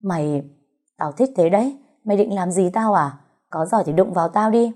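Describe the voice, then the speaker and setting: Woman playing mean pregnant aunt, low dusky voice, sneering, quarrelsome, jealous, audio storybook. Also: animated, moderate pace, mellow. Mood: taunting. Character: spiteful, jealous pregnant aunt, bullying and shrill-tongued.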